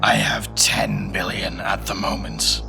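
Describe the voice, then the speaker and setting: A dastardly evil genius villain, acted out by a man, speaks in a low, whispery voice. He is pompous and formal, spacing out his words very slowly in a comedy sketch, with music underneath. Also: lively intonation, vocal fry, exaggerated voice. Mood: dastardly, deliberate. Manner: slow, deliberate, formal, halting delivery. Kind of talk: comedy phone-in sketch on a podcast.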